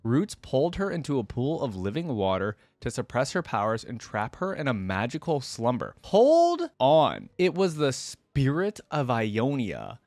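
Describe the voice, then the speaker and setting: A man's voice: medium pitch, huge pitch swings, measured pace, few pauses, a little mellow, no room sound. A man hosting reaction videos, talking informally and conversationally as he comments and reads aloud. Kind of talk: casual reaction commentary mixed with reading aloud.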